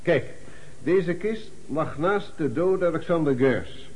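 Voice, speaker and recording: Probing police commissioner, swooping higher-pitched voice. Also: male voice, animated, slow pace, a little mellow, rough recording. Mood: sly, controlled. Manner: insistent, theatrical questioning. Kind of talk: Dutch radio drama acting.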